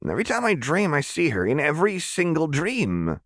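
An impatient, cheeky young woman in a storybook reading, as a male narrator voices her, quick and eager. Her voice is pitched well above the reader's own low tone, with a dry, close sound. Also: wildly swooping pitch, fairly bright tone, no echo, heavily acted.

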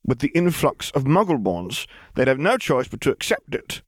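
A man's voice: medium pitch, very animated, normal speed, husky, no echo, studio quality, pitched above the performer's own voice. A man voicing a brash, eccentric young girl crime boss in an audiobook, with breezy, glib, conspiratorial patter. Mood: sly, confident.

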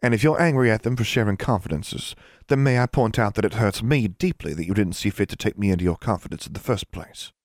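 A man's voice: on the low side, fast talker, hardly any pauses, dry recording, clean studio sound, pitched above the performer's own voice, close to an everyday voice. A man voicing a proper, imperious teenage older sister with a formal, precise and haughty way of talking. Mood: cool, hurt.

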